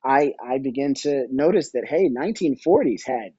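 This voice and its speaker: Mellow middle-aged man, slightly muffled audio. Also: medium pitch, expressive, normal speed, breathy edge, no room sound. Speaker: podcast guest. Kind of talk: conversational interview.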